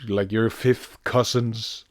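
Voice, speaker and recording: A man giving unscripted commentary in a halting, emphatic way, often posing rhetorical questions. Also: fairly low pitch, fairly even intonation, dry recording, studio quality. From Iceland. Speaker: video commentator.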